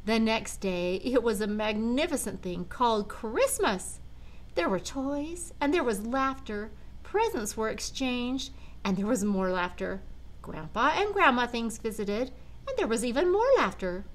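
An older woman, a grandmother, reading a children's storybook aloud with dramatic, deliberate phrasing. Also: fairly high pitch, expressive. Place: large living room at home.

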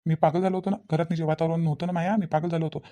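A man's voice: on the high side, level tone, racing, warm tone, lightly acted. A man voicing a scheming young husband in a village cartoon, self-justifying and repetitive, with a Varhadi Marathi accent. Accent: Varhadi Marathi (Vidarbha). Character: exasperated, scheming newly married young husband.

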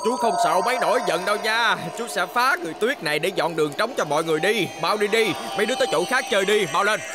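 A man voicing a chubby, bumbling, easily scared man in a cartoon dub, blustering and excitable. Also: sits high, racing. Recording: loud music behind.

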